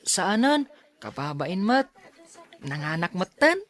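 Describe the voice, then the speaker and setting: A woman's voice: fairly low pitch, sing-song, takes it slowly. A woman playing a timid, polite schoolboy in an audio drama, speaking hesitantly and bashfully.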